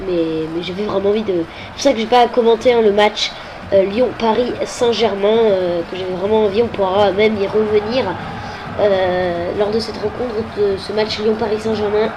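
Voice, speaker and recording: A woman's voice: medium pitch, fairly even intonation, normal speed, noisy background. A woman with a head cold commenting on a football video game, hesitant and full of filler sounds. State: has a cold.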